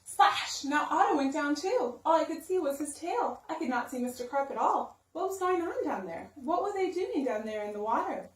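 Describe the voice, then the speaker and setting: A woman's voice: high-pitched, expressive, normal speed, hardly any pauses. A woman narrating a children's storybook expressively, with simple, emphatic phrasing for young listeners. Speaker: story-time reader. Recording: roomy sound, distant microphone.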